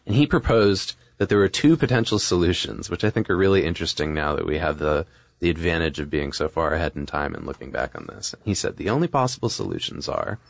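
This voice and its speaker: A man's voice: low-pitched, sing-song, fast, gravelly, dry recording, clean studio sound. A man co-hosting a podcast, explaining history in a casual, discursive, conversational way. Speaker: podcast co-host.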